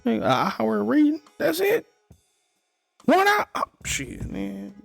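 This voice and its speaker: A man's voice: very high voice, wildly swooping pitch, measured pace, vocal fry, fairly bright tone, no room sound, soft background music. A man in his thirties co-hosting a podcast, chatting casually and giving blunt, profane life advice. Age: 30s. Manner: laid-back, profane, advice-giving storyteller. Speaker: podcast co-host. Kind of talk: casual chat.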